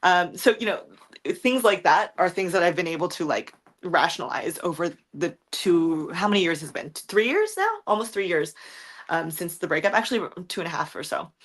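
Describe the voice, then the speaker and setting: Deep-voiced young woman chatting casually. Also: animated, a little fast. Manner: rambling, frank, swears often, many ums and likes. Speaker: content creator answering viewer questions. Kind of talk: casual livestream chat.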